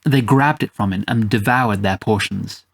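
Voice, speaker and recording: A man's voice: on the low side, moderate pace, gravelly. A man reading scripted first-person fantasy fiction as its storyteller. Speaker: storyteller, narrator.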